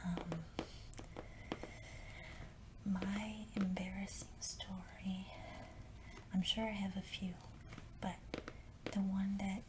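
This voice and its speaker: A woman whispering softly in an ASMR video, telling a story with frequent ums. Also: medium pitch, level tone, takes it slowly, pauses often, noisy background. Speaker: ASMR creator. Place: Los Angeles.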